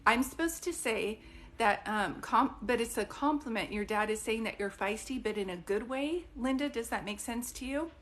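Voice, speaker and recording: Middle-aged woman, psychic on a casual live stream, chatty and fairly high-pitched, restarts her words as she goes. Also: moderate pace. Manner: chatty, thinks aloud, stops to restart words. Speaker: psychic medium.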